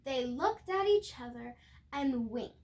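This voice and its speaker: Young girl, high voice, lively pitch swings, halting storybook read-aloud with questions to viewers. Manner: expressive, halting with stumbles and self-corrections. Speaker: storybook reader. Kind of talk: read-aloud of a picture book with asides to viewers.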